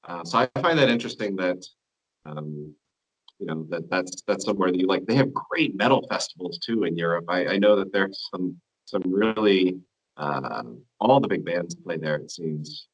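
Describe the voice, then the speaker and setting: A man hosting a metal interview, asking questions in a halting way with fillers. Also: low-pitched, expressive, low-quality recording.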